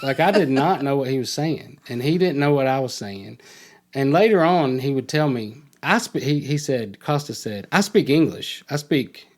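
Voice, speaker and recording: A man's voice: mid-range voice, lively intonation, moderate pace. Middle-aged man telling a personal story. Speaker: podcast guest telling his life story.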